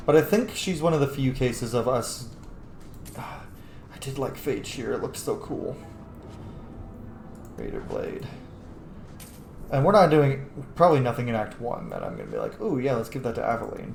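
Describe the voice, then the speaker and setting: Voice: medium pitch, vocal fry. A video game streamer giving casual let's play commentary, playful and sweary, often thinking aloud. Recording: echoey room with faint noise.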